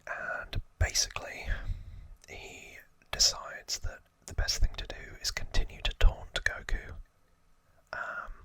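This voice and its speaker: A man whispers in a very bright, breathy ASMR delivery, with no full voice behind it. He talks as an informal host recapping trivia, musing his way through with hesitant asides. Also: dry recording.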